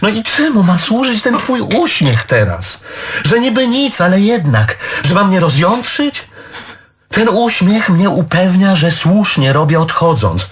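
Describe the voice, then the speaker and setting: A high-pitched, jealous, brooding lover. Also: male voice, sing-song, unhurried, husky, full character voice. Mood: accusing. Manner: nagging, accusatory, repetitive. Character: jealous, wounded man leaving his lover, brooding and fussy. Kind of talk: theatrical stage dialogue.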